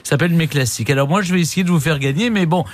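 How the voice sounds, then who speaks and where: A man hosting a radio quiz show in a chatty, lively style. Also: on the high side, very fast, slight background noise.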